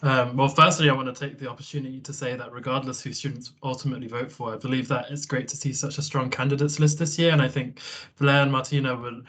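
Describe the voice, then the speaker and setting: Male candidate in his twenties, monotone and hesitant, video-call answer. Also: medium pitch, fast. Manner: hesitant, many ums, flat delivery. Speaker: rector candidate. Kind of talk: answering a panel question.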